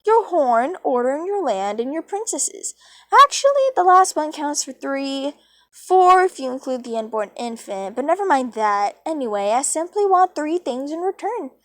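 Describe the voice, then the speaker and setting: A woman's voice: high-pitched, sing-song, moderate pace, fairly bright tone. A woman voicing a teasing, theatrical male chaos creature villain from a storybook, with a mischievous, mocking manner. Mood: smug, teasing.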